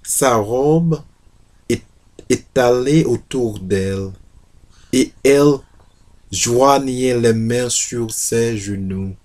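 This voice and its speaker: A man reading literary prose aloud in a deliberate way, stumbling now and then. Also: mid-range voice, darkish tone. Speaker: reader of a novel excerpt. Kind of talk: literary reading aloud with brief English asides.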